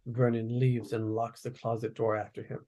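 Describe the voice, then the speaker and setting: A man's voice: medium pitch, level tone, moderate pace, dark tone. A man reading out stage directions as plain narration at a script table read.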